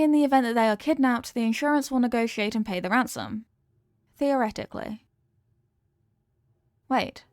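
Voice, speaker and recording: A high-voiced, adorkable yandere girlfriend, played by a woman in a comedic ASMR-style roleplay. She is sweet and doting but possessive, rambling earnestly straight to the listener in a close, dry recording. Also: dry recording.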